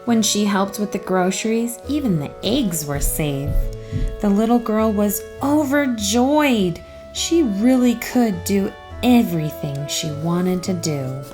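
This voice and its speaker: A woman narrating a children's storybook aloud in an expressive, careful storytelling manner. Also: medium pitch, slow, music in the background.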